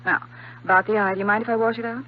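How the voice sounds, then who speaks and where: An actress playing a polite, coy, lightly scolding young ship's nurse in a 1950s radio drama. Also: female voice, mid-range voice, fairly even intonation, rapid-fire, close to an everyday voice. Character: prim, teasing young female ship's nurse. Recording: dull, faintly noisy old radio recording.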